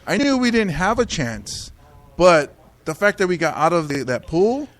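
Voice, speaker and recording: A man's voice: high-pitched, very animated, normal speed, a bit bright. A man hosting a comedy podcast, joking and teasing his co-host in casual chat and laughing often. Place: Hawaii.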